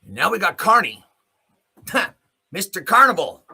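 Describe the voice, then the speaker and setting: A man delivers an opinionated, off-the-cuff commentary as a show host. His voice is forceful and rough-edged, and his pitch moves up and down in a very animated way. He is blunt and sardonic, leaning on pointed rhetorical questions and swearing. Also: measured pace. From Canada. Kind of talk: opinionated rant, spoken off the cuff.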